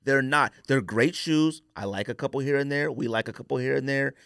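A man's voice: medium pitch, fairly quick, vocal fry, a bit bright, clear, resonant tone, no echo, clean studio sound. An American man in his forties hosting a podcast, debating sneakers casually and emphatically, repeating phrases for stress. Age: 40s. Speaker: podcast host.

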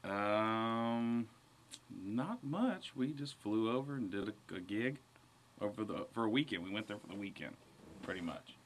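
A man's voice: lowish voice, animated, a little slow. An American man from Ohio giving short, understated, slightly hesitant answers as a guest in a casual interview. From Ohio, United States. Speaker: band musician, interview guest.

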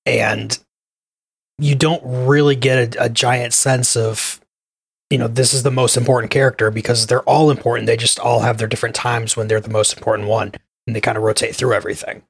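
A man's voice: medium pitch, little pitch movement, fairly quick, vocal fry, husky. A man chatting casually as a panelist on a podcast.

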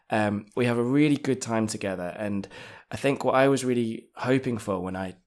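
English guy, thirties, easygoing chatter with a lower voice, lots of laughs and restarts. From England. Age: 30s. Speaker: podcast guest. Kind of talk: casual interview chat.

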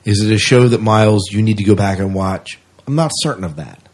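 A male podcast co-host talks through news and opinions casually and reflectively. His voice sits low and has a husky, rough edge. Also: a little fast, darkish tone. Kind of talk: casual sci-fi news and review chat.

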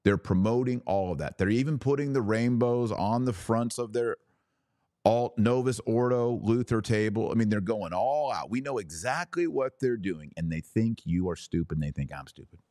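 A man's voice: lowish voice, lively intonation, moderate pace, mellow, no echo, clean studio sound. A man hosting a podcast, giving opinionated religious commentary in a preaching style, emphatic, with rhetorical questions and sarcastic asides.

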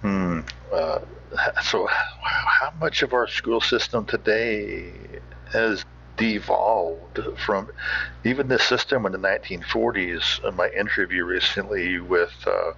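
A man hosting a podcast, giving casual off-the-cuff commentary in a halting, musing way. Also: low-pitched, creaky, bright, forceful tone, breathy edge. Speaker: podcast host. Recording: slightly muffled sound.

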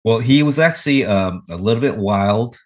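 Co-host, male, very deep voice, easygoing listener who asks questions. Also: lively intonation, moderate pace. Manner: brief listening replies and questions. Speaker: podcast co-host. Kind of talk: casual chat.

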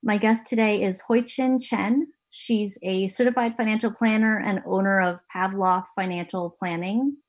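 A woman's voice: medium pitch, fairly even intonation, normal speed, few pauses. A woman hosting an interview podcast from her home basement, talking earnestly with a few restarts. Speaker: podcast host and managing editor.

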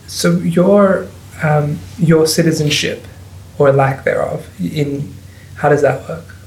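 A man's voice: fairly high pitch, slow pace, darkish tone. A man hosting a podcast, asking questions hesitantly and restarting them. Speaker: podcast host. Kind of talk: interview questions. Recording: echoey room.

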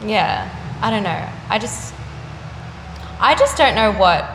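A young woman, an artist chatting casually in an interview, full of fillers and quick laughs. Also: mid-range voice, big pitch swings, fairly bright tone. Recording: echoey room with faint noise.

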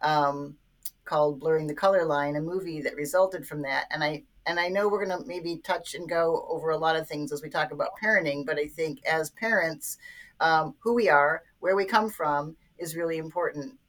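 A woman's voice: sits low, normal speed. A woman hosting a parenting podcast, interviewing her guest and guiding the talk with questions. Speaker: podcast host.

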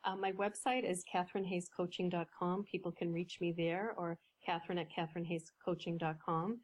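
A woman coach, a podcast guest, explaining ideas in a measured way with some fillers. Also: fairly low pitch, fairly even intonation. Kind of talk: podcast interview.

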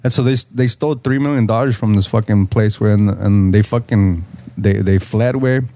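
A man's voice: fairly low pitch, moderate pace, dark tone, no room sound. A man chats and tells stories casually as a podcast co-host, swearing heavily and dropping in Spanish slang. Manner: slangy, heavy swearing, mixes in Spanish words. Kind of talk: casual chat, storytelling.

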